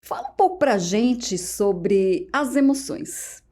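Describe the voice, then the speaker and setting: A woman's voice: a little high, big pitch swings, takes it slowly, dark tone. A woman with a Brazilian accent hosting a casual conversational podcast interview in an effusive, chatty way. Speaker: podcast host.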